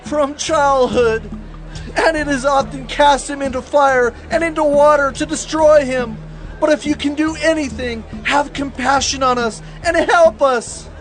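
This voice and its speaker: A man's voice: very high-pitched, a little slow, low-quality recording. An actor playing a desperate father pleading for his tormented boy in an anguished, emphatic way, in a dramatized scripture reading. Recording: music and crowd behind voice.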